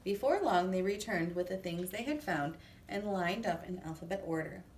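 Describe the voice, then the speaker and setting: A woman reading a children's story aloud in an expressive, deliberate way. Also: fairly low pitch. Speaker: storybook reader. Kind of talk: children's read-aloud narration. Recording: echoey room, distant mic, faint noise.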